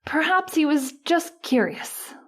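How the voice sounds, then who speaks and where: An anxious, easily frightened woman played by an actress with a high voice. She speaks in the dramatic manner of an old-time radio horror mystery. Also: expressive, takes it slowly, fairly bright tone, heavily acted. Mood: uneasy.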